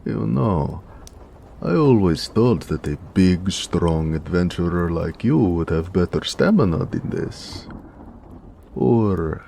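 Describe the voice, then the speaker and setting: A big orc blacksmith acted by a man speaks in a low, gravelly for a romantic audio roleplay. He teases and dotes on his lover playfully, with very lively swings of pitch. Some ambient noise sits behind the voice. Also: slow pace, full character voice. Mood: playful teasing. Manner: playful, flirtatious, frequent chuckling.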